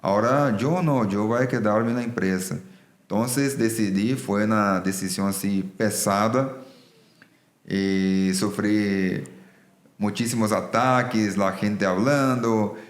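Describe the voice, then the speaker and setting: Male guest, Brazilian accent, Portuguese native, lowish voice, explains earnestly in conversation, roomy sound. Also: slow pace. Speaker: business executive, podcast guest. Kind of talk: conversational interview. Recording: roomy echo.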